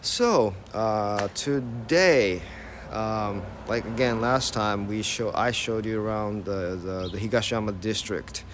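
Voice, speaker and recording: A man's voice: lowish voice, slow, a bit bright, slight background noise. Chatty American man on a morning street. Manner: casual, chatty, reads viewer comments aloud. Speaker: tour guide. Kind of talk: live-streamed walking tour chat. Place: outdoors on a city street in early morning.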